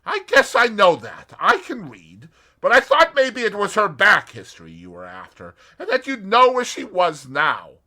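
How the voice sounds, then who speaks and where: Brief: man putting on a pushy American in his thirties, loud and raised, bright and forceful. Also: high-pitched, wildly swooping pitch, moderate pace, pitched above the performer's own voice, exaggerated voice. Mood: blunt. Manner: loud, blunt and impatient. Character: brash young American man around thirty-five. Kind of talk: audiobook character voice.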